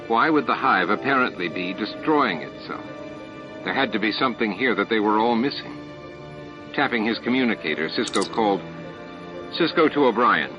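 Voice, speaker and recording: Male audiobook narrator, lower register, science fiction prose, dull lo-fi sound with music underneath. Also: animated, a little fast. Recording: muffled, band-limited tape audio.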